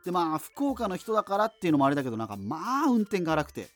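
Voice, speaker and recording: A man's voice: sits high, sing-song, very fast, no room sound, faint music behind. A man playing an amateur solo radio host in a doomed future, chatting in a rambling, self-interrupting way in a radio drama. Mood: chatty, rambling. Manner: rambling, self-interrupting, digressive, casual asides. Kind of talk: conversational radio monologue in a scripted radio drama.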